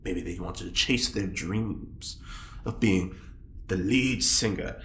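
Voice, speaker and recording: A man playing a smooth-talking, nosy prisoner in an audio drama, theatrical and mock-polite as he wheedles. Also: low voice, takes it slowly, fairly bright tone. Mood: sly, teasing. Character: smooth-talking, nosy male prisoner, slyly teasing the guards. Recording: echoey room with noticeable background noise.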